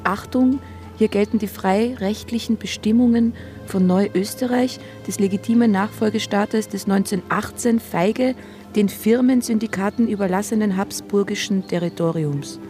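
Mellow-voiced woman declaiming , played by an actress. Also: mid-range voice, normal speed, faint music behind, natural voice. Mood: formal, declaiming. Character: woman dutifully reading out a pompous border sign. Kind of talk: formal reading aloud in radio drama.

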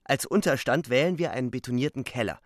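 A man's voice: medium pitch, fast, over music, lightly acted. Young soldier narrating the war played by a man. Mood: brisk, vivid. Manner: terse present-tense storytelling. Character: young German front soldier recounting the war. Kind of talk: literary radio play narration.

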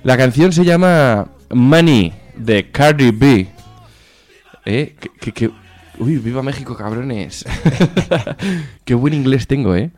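A man's voice: mid-range voice, expressive, slow, a little mellow, faint music behind. A man with an accent from Spain co-hosts a casual radio chat show in an informal, jokey way, swearing freely. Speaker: co-host of a music and chat show.

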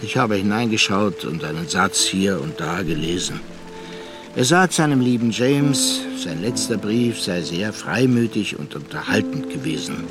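A man's voice: lowish voice, lively intonation, a little slow, over music. An actor playing a thoughtful male narrator who recounts a friend's fate in a literary radio play. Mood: reflective, grave.

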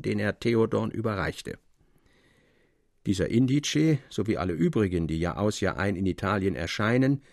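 A man narrates classic literature for radio in a medium-pitched voice. There is a creaky grain to it. The sound is close and dry, with a composed, scripted delivery. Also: dry recording.

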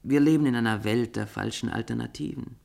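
A man's voice: mid-range voice, fairly quick, gravelly, darkish tone. An actor in a German radio play playing an earnest, disillusioned intellectual who argues his case stubbornly. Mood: resigned.

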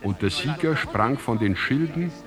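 A man narrating a literary drama as a solemn, declamatory and deliberate recitation. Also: medium pitch, animated. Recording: music under the voice. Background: music.

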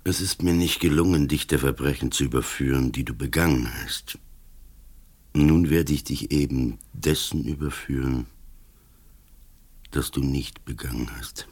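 An actor playing an old, grimly resolute police commissioner in a German radio play, speaking in a measured, solemn way. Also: male voice, very deep voice, darkish tone, exaggerated voice. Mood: calm, accusing. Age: older. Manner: measured, solemn, pronouncing judgement.

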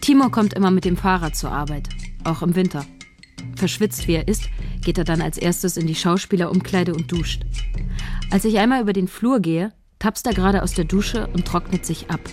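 An actress playing a shy young writer who narrates her own story in a radio drama, measured, wry and observant. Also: lowish voice, darkish tone, faint music behind, lightly acted. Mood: brooding. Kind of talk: intimate first-person radio drama narration.

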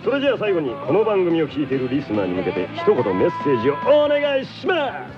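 Hyped, slick male DJ. Fast, swooping announcer patter with English flourishes, for comic radio drama. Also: fairly high pitch, wildly swooping pitch. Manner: exaggerated announcer patter sprinkled with English words. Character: hyped, slick, English-peppering radio DJ, over-familiar man. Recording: slightly dull audio with music behind.

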